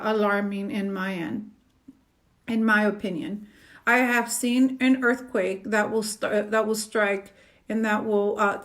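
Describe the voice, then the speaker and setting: Woman, middle-aged, psychic, earnest, halting, many fillers, slightly high voice. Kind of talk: solo predictions monologue to camera.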